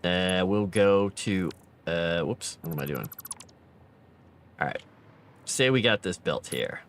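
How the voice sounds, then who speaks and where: A man's voice: low voice, animated, takes it slowly, slight background noise. A tired man streaming a game late at night, thinking aloud and chatting with viewers. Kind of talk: casual gameplay live stream commentary.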